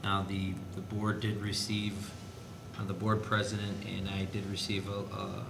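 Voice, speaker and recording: Low, even-toned man in echoey meeting room. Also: normal speed, vocal fry, noisy background. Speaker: school district administrator reporting to board. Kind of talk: school board meeting discussion. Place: indoor board meeting room.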